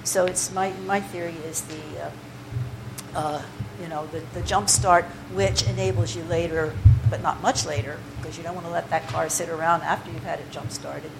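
A woman with a slightly low voice leads a poetry workshop in a loose, conversational way, wandering off on side paths with plenty of ums and restarts. The recording carries a steady layer of noise behind her. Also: moderate pace, creaky. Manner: chatty, digressive, with many fillers and restarts. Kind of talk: informal workshop talk to a group. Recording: noisy room recording.

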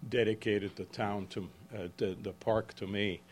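An older man in his seventies, originally from the Czech Republic, speaks as an author to a live audience. His voice sits fairly low, and he talks haltingly, often restarting and correcting himself mid-sentence. A faint noise runs underneath. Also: steady tone. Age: 70s. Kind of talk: book talk to a live audience. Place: event room with an audience.

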